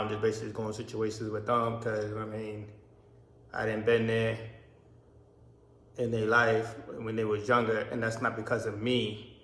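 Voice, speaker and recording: A man's voice: lowish voice, a bit bright. A man in his thirties from Barbados, raised in the US, telling a personal story in a rambling vlog with many restarts. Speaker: vlogger. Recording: echoey room.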